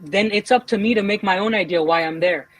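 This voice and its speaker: A man's voice: sits high, brisk, fairly bright tone. A man, a military veteran arguing bluntly in a live online panel and repeating his points. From Mexico. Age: 30s. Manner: blunt, insistent, repeats himself. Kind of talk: heated live panel debate.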